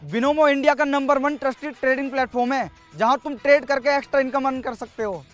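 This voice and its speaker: A young village lad, played by a man with a very high-pitched male voice. He explains a scripted sponsor pitch with assurance, faint music running behind. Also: fairly even intonation, fast. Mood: assured. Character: confident young village lad pitching a money-making app.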